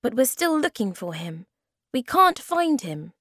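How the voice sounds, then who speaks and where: Lady as a bossy little storybook critter guy, high pitched, blunt. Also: very animated, measured pace, a bit bright, dry recording, clean studio sound, heavily acted.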